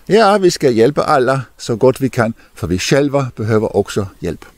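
An older man, a deacon, telling a Bible story to children outdoors in an expressive, lively way. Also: mid-range voice, dry recording. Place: outdoors in an open field.

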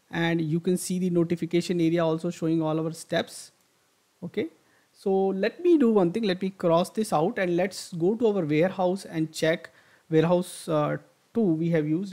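A man narrating a screen-recorded software tutorial, thinking aloud with frequent fillers and restarts as he clicks through steps. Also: high-pitched. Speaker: software instructor.

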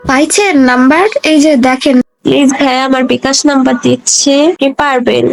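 A young Bangladeshi-accented woman with a high voice speaks in short, casual lines. Her manner is polite and pleading, like someone asking a favour. Music plays clearly behind her. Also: fairly even intonation, brisk, rough recording. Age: young adult. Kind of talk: short casual prank voice clips asking game players for money. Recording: background music under the voice.

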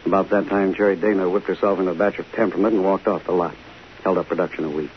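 An actor playing a smooth, flirtatious Hollywood leading man in a 1950s radio drama. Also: low voice, steady tone, racing, natural voice. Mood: confiding. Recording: hissy, muffled 1950 radio broadcast.

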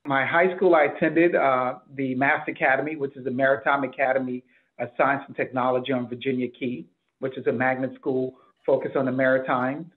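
A man's voice: medium pitch, flat delivery, fairly quick. Middle-aged man on a phone-like video call. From the Bahamas. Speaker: hospital chief executive being interviewed. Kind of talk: interview answers about career. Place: office, indoors. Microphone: computer mic on a video call.

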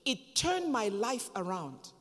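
A Zambian woman in her fifties preaching a sermon from a pulpit on a handheld microphone, emphatic and dramatic, with long pauses. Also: a little high, big pitch swings. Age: 50s. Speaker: pastor. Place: large church hall, on stage at a pulpit. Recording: long reverb of a church hall.

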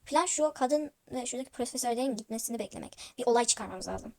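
A woman's voice: on the high side, lively intonation, fast, creaky. A woman improvising a voiced role-play story, speaking all the characters of a toy-world game video herself.

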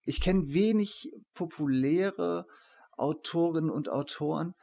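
A man's voice: a little high, slow pace, creaky, warm tone. A man in his forties hosting a casual interview, asking questions into a desk microphone in a small room. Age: 40s. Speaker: interview host, professor. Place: small attic room, indoors. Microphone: shared desk microphone.

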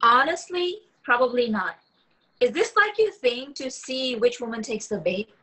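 Woman acting wary young online dater, wry, higher bright voice, script read-through. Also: animated, a little slow, slightly rough, full character voice, poor audio. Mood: skeptical, wry. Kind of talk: actor reading a script at a table read. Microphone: video call.

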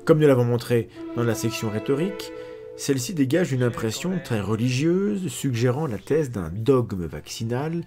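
A man's voice: mid-range voice, big pitch swings, moderate pace, mellow, soft background music. A man in his forties, a science skeptic host, reading a critical analysis to camera with sardonic, ironic asides. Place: indoor room at a desk.

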